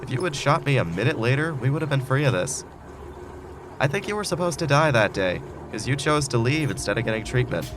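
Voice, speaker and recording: A man's voice: medium pitch, very animated, fairly quick, background noise, close to an everyday voice. A man acting in an audio drama as a wronged, resolute man who outwits his tormentor in a time loop. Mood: accusing. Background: loud music bed.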